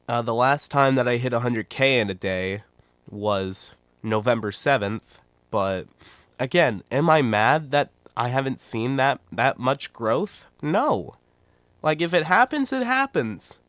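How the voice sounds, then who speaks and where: A man's voice: medium pitch, lively intonation, takes it slowly, a bit bright, no echo. A man in his twenties with a nasal, stuffy voice from a cold, talking bluntly and rambling in casual livestream commentary. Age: 20s. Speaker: YouTuber and streamer.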